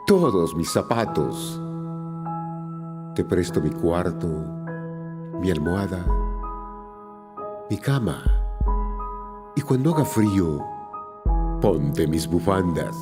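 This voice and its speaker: Slow, expressive man reciting over music. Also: deep voice. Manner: solemn, measured, dramatic phrasing. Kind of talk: poetry recitation. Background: soft new-age music.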